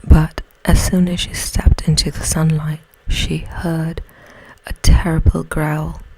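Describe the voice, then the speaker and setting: A woman whispers a bedtime story in a hushed, breathy ASMR style, close to the mic. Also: low-pitched, little pitch movement, low-quality recording. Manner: slow, hushed, soothing delivery.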